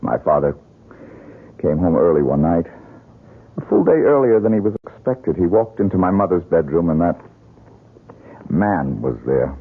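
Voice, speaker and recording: An actor playing a troubled, bitter young man in an old-time radio mystery, speaking in a halting, dramatic, husky way. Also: low-pitched, expressive, exaggerated voice. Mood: anguished. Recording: muffled, lo-fi radio drama recording.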